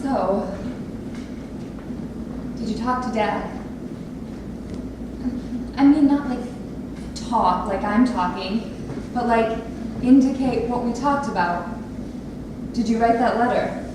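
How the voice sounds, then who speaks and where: A distant, echoing recording of a play reading with clear background noise. A woman acts as an anxious young scientist daughter, earnest and high-voiced. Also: slow pace, pauses often. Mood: tense, subdued. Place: theatre space, recorded from audience distance. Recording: echoey, distant, noisy room recording.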